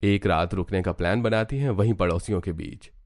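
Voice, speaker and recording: A man's voice: low voice, a little fast, darkish tone. A man narrating a scripted cartoon story as its storyteller.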